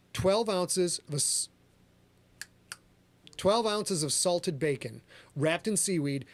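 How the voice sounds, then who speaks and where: A man hosting a livestreamed podcast, reading his own fiction aloud and chatting in a quick, energetic, profane, joking way. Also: fairly high pitch, no echo. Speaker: author and podcast host. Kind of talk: livestream chat and story reading. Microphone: livestream camera setup.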